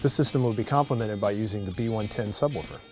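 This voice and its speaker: A man's voice: lowish voice, quick pace. A man reading a polished, scripted product narration as a promotional voice-over. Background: guitar music.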